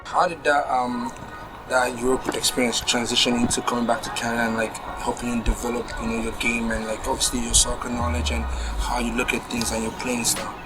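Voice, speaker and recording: A man's voice: medium pitch, monotone, fairly quick, loud music behind the voice, rough recording. A man co-hosting a show, asking questions in casual conversational speech. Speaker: co-host. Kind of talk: casual interview chat.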